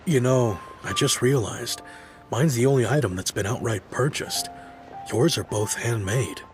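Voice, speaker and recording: An actor playing a nostalgic childhood friend in a video game, calm and reflective. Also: male voice, mid-range voice, a little slow, music in the background, natural voice. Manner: calm, soft-spoken and reflective.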